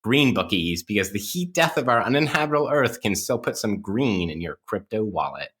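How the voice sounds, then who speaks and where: A man, a podcast host, reading an upbeat scripted mock advertisement with an exaggerated salesman's pitch. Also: sing-song, brisk, fairly bright tone.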